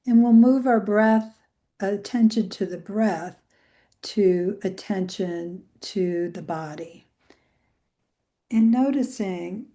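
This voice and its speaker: A woman leading a guided meditation in a gentle, soothing way, with soft phrase endings that trail into breath. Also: medium pitch. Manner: gentle, soothing, unhurried with long pauses.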